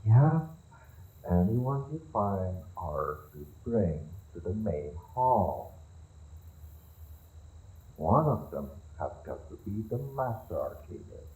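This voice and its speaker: A man's voice: sits low, very animated, slow, pauses often, warm tone, breathy edge, full character voice, poor audio. A man playing a haughty evil sorcerer in a fantasy roleplay audio drama, theatrical, condescending and musing. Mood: commanding, impatient. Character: haughty evil male sorcerer leading a raid.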